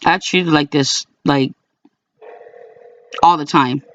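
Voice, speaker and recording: A man speaking informally as an aggrieved caller, in a halting, repetitive way, recording complaints about calls. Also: on the high side, steady tone, fairly bright tone, recorded from a distance, lo-fi sound. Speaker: caller recording own phone calls to a police dispatcher. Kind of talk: informal complaint to camera and on phone.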